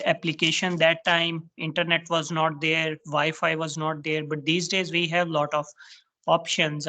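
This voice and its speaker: A woman's voice: deep voice, a bit bright. A woman with a Pakistani accent, a native Urdu speaker, talking plainly with some fillers as a guest in an online English chat. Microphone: video call.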